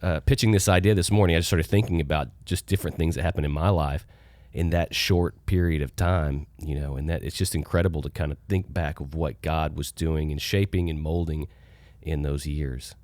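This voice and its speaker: A man with a very low voice that is hoarse from a cold, co-hosting a casual church podcast. He is agreeable and easygoing and chimes in with quick affirmations. The sound is dry and close to the mic. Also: steady tone, a little mellow, dry recording. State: hoarse from being under the weather. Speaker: podcast co-host.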